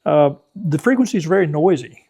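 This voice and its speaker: A man's voice: on the high side, animated, a little fast, gravelly, warm tone. An older man giving casual, knowledgeable explanations as an interview guest, adding careful qualifiers as he goes.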